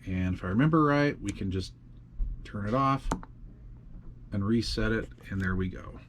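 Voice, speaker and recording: Man, low voice, casual, tinkering and muttering, fillers, makeshift home studio. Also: normal speed, a little hiss or hum. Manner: muttering to himself while fiddling with gear, many fillers. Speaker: radio hobby video host. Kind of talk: casual hands-on demonstration.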